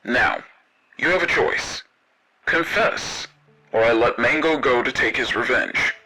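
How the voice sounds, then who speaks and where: Man doing a menacing, husky police detective. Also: mid-range voice, pushed and bright, soft background music, pitched below the performer's own voice, exaggerated voice, poor audio. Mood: threatening. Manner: sardonic, deliberate, threatening.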